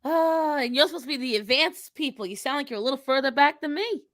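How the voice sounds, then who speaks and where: A woman voicing a brash, foul-mouthed, quick-tempered young witch character in improvised live stream banter. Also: high-pitched, expressive, a bit bright. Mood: incredulous, brash. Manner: sassy, foul-mouthed, combative.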